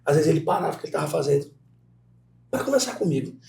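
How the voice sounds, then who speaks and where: A middle-aged Brazilian man, a guest professor, talks at a quick clip in a mellow, warm tone. His Brazilian Portuguese accent colours every story. He speaks animatedly and often turns to address the students directly. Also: on the high side, expressive, vocal fry. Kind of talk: conversational interview storytelling.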